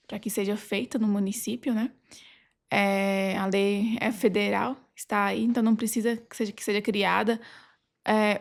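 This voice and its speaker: A Brazilian woman with noticeable vocal fry and an average pitch answers as a podcast guest. Her talk is conversational and halting, built up in short pieces. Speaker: legal adviser, podcast guest.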